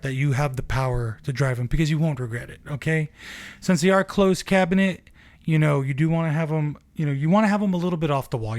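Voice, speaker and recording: A man's voice: a little high, darkish tone, no room sound. An American man giving a casual, conversational product review as an enthusiastic shop owner.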